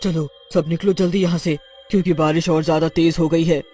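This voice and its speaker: A man's voice: on the high side, fairly even intonation, brisk, a little mellow, soft background music, natural voice. A man voicing an earnest, well-meaning female witch in a cartoon, pleading emphatically in dramatic dialogue. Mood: worried, urgent.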